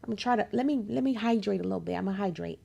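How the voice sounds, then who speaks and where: A hoarse woman playing a story game, reacting excitably, laughing often and talking straight to her viewers. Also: mid-range voice, racing. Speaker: game streamer. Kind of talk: let's play commentary.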